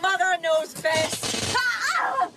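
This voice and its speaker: Very high-voiced, headstrong daughter, played by a woman. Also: extremely slow, over loud music, exaggerated voice. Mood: determined. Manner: urgent, emotional. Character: urgent, headstrong daughter in peril. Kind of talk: audio drama acting.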